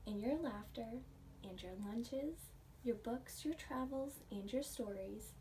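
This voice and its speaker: A woman reading a picture book aloud to children and chatting about it in a gentle, expressive, questioning way. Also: a little high, slow pace. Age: adult. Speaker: children's storybook reader, teacher. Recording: distant mic with faint background noise.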